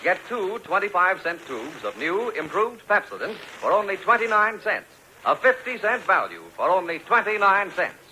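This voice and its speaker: An American man delivering an urgent, punchy sales pitch as a 1940s radio commercial announcer on an old broadcast. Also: big pitch swings, moderate pace, few pauses, noisy background. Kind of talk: 1940s radio advertisement read.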